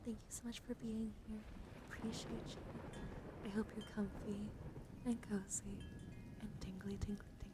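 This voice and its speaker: A young woman streaming ASMR, chatting playfully and teasingly to viewers in a coddling way, drifting between soft talk and whispers close to the microphone. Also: mid-range voice, flat delivery, moderate pace, pauses often. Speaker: live streamer. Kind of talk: ASMR livestream with casual chat to viewers.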